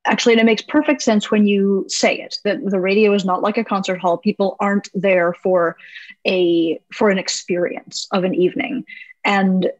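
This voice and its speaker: A woman's voice: medium pitch, steady tone, moderate pace, no echo, highs cut off. A woman hosting a podcast, asking questions in a curious, eager, chatty way. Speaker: podcast host. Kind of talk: casual interview chat. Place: home.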